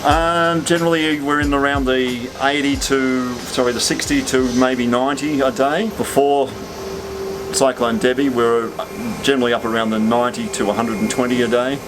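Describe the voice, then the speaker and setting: A man's voice: mid-range voice, fairly even intonation, moderate pace, low-quality recording. An Australian man in his sixties, an air traffic controller, explaining things casually in a laconic, matter-of-fact way.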